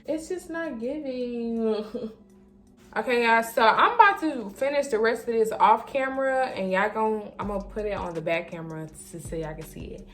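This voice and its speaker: A young woman chatting casually to the camera as a vlogger, animated, slangy and emphatic, often repeating herself. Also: mid-range voice, normal speed. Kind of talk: casual storytime chat to camera.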